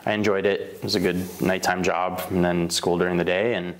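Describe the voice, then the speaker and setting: A man's voice: low-pitched, flat delivery, brisk, poor audio. A man from Slovenia who owns a bakery, telling his life story in a casual interview, rambling with fillers and self-corrections. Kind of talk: casual oral history interview.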